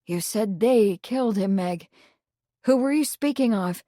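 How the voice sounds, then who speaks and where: A woman voicing a composed gentlewoman who speaks calmly and firmly. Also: medium pitch, no room sound, clean studio sound, lightly acted. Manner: calm, measured, refined.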